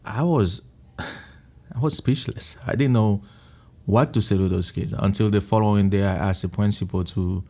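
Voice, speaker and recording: A man from Haiti with a Haitian accent, telling his story in a restaurant interview in a halting, earnest way, often repeating words. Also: lowish voice, mellow. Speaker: restaurant owner and missionary.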